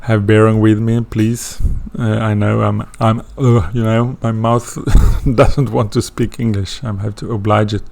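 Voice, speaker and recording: Swedish guy, slow and halting, lots of ums, a bit of gravel in his voice. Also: lowish voice, level tone, a little mellow. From Sweden. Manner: hesitant, many fillers and restarts. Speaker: astrology teacher. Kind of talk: casual course introduction.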